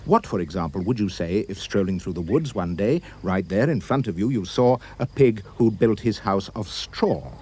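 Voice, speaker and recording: A man giving expressive, theatrical rhyming storybook narration for a puppet show, with emphatic delivery. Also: lowish voice, moderate pace, hardly any pauses, husky.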